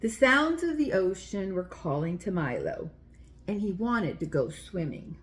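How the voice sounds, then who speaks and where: A woman's voice: medium pitch, very animated, unhurried. A woman hosting a children's story time, reading aloud and speaking directly to young listeners in simple, explanatory language.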